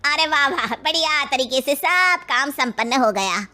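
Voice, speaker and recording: Woman as a slow, bright-voiced ceremonious priest. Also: high-pitched, expressive, exaggerated voice. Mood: pleased. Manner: deliberate, ceremonious. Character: ceremonious, cheerful wedding priest. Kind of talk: cartoon story dialogue.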